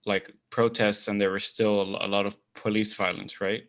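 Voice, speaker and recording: A man's voice: fairly low pitch, flat delivery, normal speed, fairly bright tone. A man in his thirties interviewing someone in a casual, chatty way. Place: indoors, home room, on a video call. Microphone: headset on a video call.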